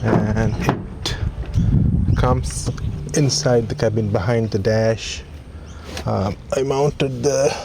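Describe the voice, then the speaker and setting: A man talks through a product in a casual, low-key way, taking his time between phrases. His pitch is average, and the delivery is plain and a bit hesitant. Clear vehicle noise sits behind the voice. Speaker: vlogger demonstrating a product. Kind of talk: casual unboxing and install walkthrough. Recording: clearly noisy, vehicle ambience.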